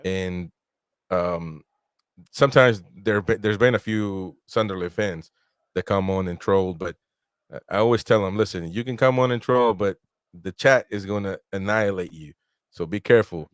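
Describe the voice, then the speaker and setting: A man in his thirties, born in Peru, hosts a casual live-stream football watch-along in a fairly low voice. He talks in a loose, chatty way and reads out viewer comments. The sound is dry and close, with little room in it. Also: moderate pace, no echo.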